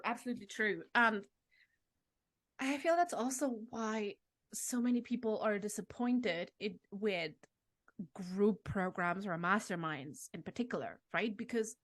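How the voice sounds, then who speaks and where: A woman in her thirties, a podcast host being interviewed, talking frankly in a casual conversation, swearing now and then and laughing often. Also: medium pitch. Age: 30s.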